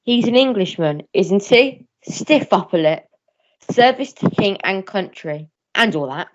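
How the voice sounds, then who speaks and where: A woman's voice: mid-range voice, animated, measured pace, close to an everyday voice. A woman voicing a doting, naive upper-class English father in an amateur melodrama radio play. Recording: slightly dull lo-fi recording.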